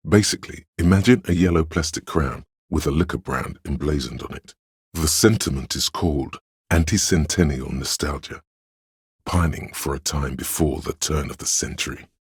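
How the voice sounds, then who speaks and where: A man playing a grave, erudite inner encyclopedia voice in a game, narrating in a measured, dryly sardonic way with a gravelly, husky bass. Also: no room sound, clean studio sound, exaggerated voice.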